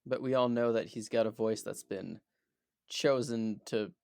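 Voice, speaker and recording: A man's voice: on the low side, fairly even intonation, unhurried, gravelly, a little mellow, smooth, no echo, clean studio sound. Playful, rambling man on a podcast. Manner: playful, rambling, stammers and restarts. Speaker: podcast co-host. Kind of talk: casual chat.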